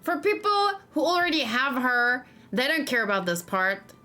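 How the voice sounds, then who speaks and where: A woman's voice: sits high, big pitch swings, normal speed, pushed and bright. A woman giving casual let's play commentary, thinking aloud and chatting to her viewers over game music. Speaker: livestreamer playing a video game. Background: game music, typing.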